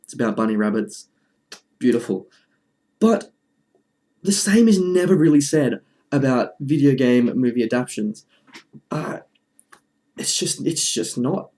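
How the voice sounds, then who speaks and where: A man presents a casual video commentary in a mid-pitched voice. His delivery is dry and jokey, and he often interrupts himself as he talks. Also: animated, unhurried, pauses often, warm tone. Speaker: video host.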